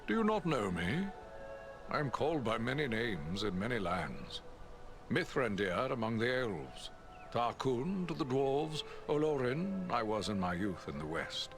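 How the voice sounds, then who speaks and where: An actor playing a wise old wizard in a fantasy game, grave and courteous, speaking in measured, theatrical declamation. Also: male voice, mid-range voice, big pitch swings, over music. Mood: grand, introducing himself. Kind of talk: dramatic fantasy game acting.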